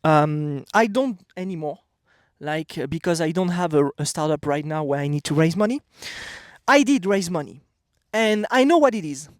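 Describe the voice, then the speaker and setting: French man with a high, bright voice. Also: lively intonation, normal speed, no room sound, studio quality. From France. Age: 30s. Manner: blunt, emphatic, repeats "okay?". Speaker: vlogger. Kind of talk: casual motivational talk to camera. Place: outdoors.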